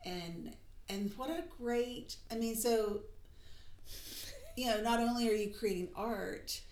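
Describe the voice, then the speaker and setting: A woman's voice: on the high side, takes it slowly, darkish tone. A woman co-hosting a casual podcast conversation in an effusive, encouraging way. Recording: roomy, echoey sound.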